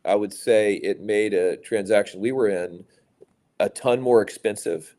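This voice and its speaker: A middle-aged man from Louisiana, a business executive answering interview questions in a measured way, with many fillers and restarts. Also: on the low side, mellow. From Louisiana, USA. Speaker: private equity executive, guest.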